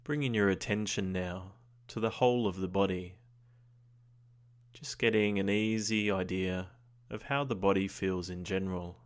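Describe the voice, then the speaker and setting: A man with a low voice leads a guided meditation. He speaks gently and soothingly, in short unhurried phrases. Long pauses separate his instructions. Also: fairly even intonation. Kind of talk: guided body scan meditation.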